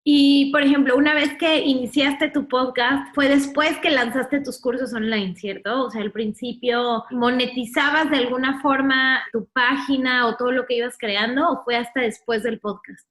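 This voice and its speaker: A woman's voice: sits high, fairly even intonation, moderate pace, hardly any pauses, fairly bright tone, roomy sound. A woman hosting a podcast, asking questions and agreeing with short replies. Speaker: podcast host, interviewer. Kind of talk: casual interview.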